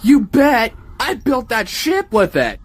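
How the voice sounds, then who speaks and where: A man voicing a brash young alien mechanic with a casual, cocky, excitable manner. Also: extremely high pitch, slow, slight background noise, exaggerated voice. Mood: casual, skeptical.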